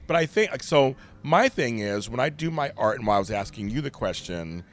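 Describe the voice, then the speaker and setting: A middle-aged man with a deep voice, speaking candidly and emphatically as a podcast guest. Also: fairly quick. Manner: animated, emphatic storyteller. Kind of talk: candid conversation.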